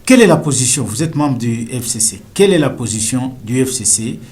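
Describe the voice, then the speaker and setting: A male interviewer who asks political questions hesitantly, pausing on fillers. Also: medium pitch, expressive, darkish tone.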